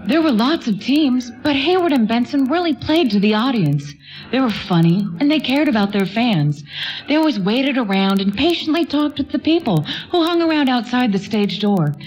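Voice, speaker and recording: An actress playing a fond, nostalgic, gently bossy grandmother in a radio play, with a folksy way of talking. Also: high voice, lively intonation, normal speed, faint music behind, rough recording. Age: older.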